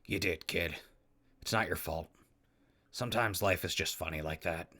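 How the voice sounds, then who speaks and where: A man's voice: low-pitched, moderate pace, bright, forceful tone. A man playing a wry, big-hearted wasteland radio host in an audio drama, with a folksy manner. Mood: encouraging. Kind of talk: post-apocalyptic audio drama acting.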